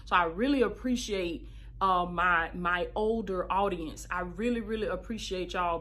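Animated thirties woman giving advice. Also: mid-range voice. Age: 30s. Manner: animated, preachy, repeats phrases for emphasis, calls listeners baby. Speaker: podcast host and life coach. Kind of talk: casual advice monologue.

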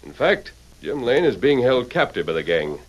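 An actor playing a resolute masked cowboy hero in a radio western, speaking with formal, commanding authority. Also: male voice, medium pitch, very animated, muffled recording. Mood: firm, calm. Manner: authoritative, formal, measured diction. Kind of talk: old-time radio western acting. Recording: faintly noisy old radio recording.

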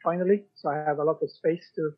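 Male guest, high voice, halting casual talk with mid-sentence pauses, muffled lo-fi recording. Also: fairly even intonation. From Sweden. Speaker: podcast guest, writer. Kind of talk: casual interview chat.

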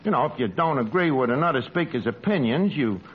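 An actor playing a brash, wisecracking New York tavern manager with ungrammatical, mock-refined speech, in 1940s radio comedy style. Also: male voice, mid-range voice, animated, brisk, lightly acted. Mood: wry. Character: brash, malapropping tavern manager with pretensions to class. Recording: noisy, muffled old radio broadcast recording.

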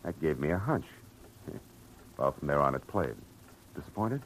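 A man's voice: very deep voice, sing-song, normal speed, a little hiss or hum, poor audio. An American man acting a hard-boiled, world-weary private detective in 1940s radio style, with wry, clipped, slangy delivery. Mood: wry, matter-of-fact. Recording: vintage radio drama with loud music.

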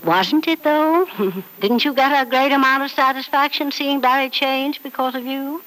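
A woman's voice: high-pitched, moderate pace, a bit bright, poor audio. An actress playing a frank, doting, wealthy elderly mother in an old radio soap, speaking with emphatic, theatrical affection. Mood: frank, fond. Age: older. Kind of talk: 1940s radio soap opera acting. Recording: old radio drama recording.

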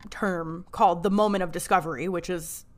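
A woman's voice: fairly low pitch, fairly even intonation, brisk. A woman co-hosting a podcast, chatting casually and reading recap narration. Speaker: podcast co-host.

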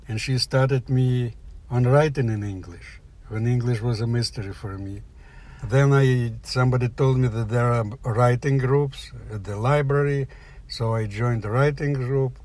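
An older man from the former Soviet Union, speaking English with a Russian accent, telling a personal story in halting, plain phrases. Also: medium pitch, darkish tone. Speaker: guest storyteller. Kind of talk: personal story told aloud.

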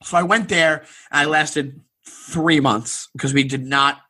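A man's voice: fairly high pitch, fairly even intonation, a little slow, sharp tone, slightly rough. An American man, a comedian guesting on a podcast, telling casual stories in a rambling, self-deprecating way. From Long Island, New York, United States. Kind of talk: casual comic storytelling.

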